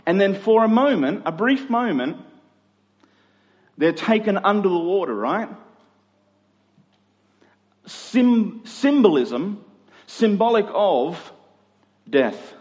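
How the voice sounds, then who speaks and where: Male pastor, slightly high-pitched voice, emphatic then hushed sermon delivery, long hall reverb. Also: sing-song, slow, pauses often. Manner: rhetorical questions, dramatic swings between emphatic and hushed. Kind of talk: sermon to a congregation. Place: large reverberant church hall.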